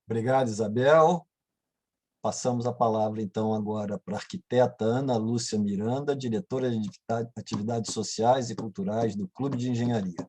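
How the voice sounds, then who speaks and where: A man's voice: lowish voice, brisk, a little mellow, a little hiss or hum. A man moderating a panel, conversational and often checking in with tag questions.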